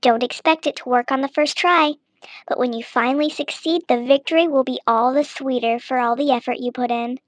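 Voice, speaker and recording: High-voiced woman reading a guide aloud. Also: steady tone, brisk, no echo. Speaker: narrator reading an online text aloud. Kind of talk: informal read-aloud of a how-to guide.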